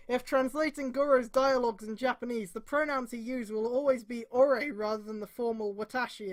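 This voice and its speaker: Bright, high-voiced woman reading aloud. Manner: sweary, exasperated, theatrically emphatic. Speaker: streamer reading fan fiction aloud. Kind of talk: mocking read-aloud with exasperated asides. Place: indoors on a live stream.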